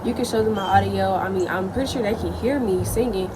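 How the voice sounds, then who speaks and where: A young woman telling a personal school story in a chatty, animated way. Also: medium pitch, fast, very noisy. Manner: chatty, animated, lots of likes. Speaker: vlogger. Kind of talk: casual storytime.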